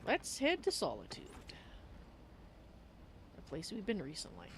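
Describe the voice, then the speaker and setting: A woman voicing a warm-hearted Khajiit cat-woman adventurer in a video game roleplay, speaking of herself in the third person. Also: mid-range voice, huge pitch swings, measured pace, a little hiss or hum. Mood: cheerful. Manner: refers to self in third person. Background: game rain ambience.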